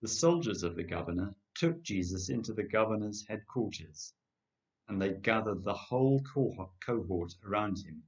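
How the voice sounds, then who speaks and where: An older man reads scripture solemnly, in measured, deliberate phrases. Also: on the low side, animated. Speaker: lay scripture reader. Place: home kitchen, indoors. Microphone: webcam at about arm's length. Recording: dull, lo-fi webcam sound.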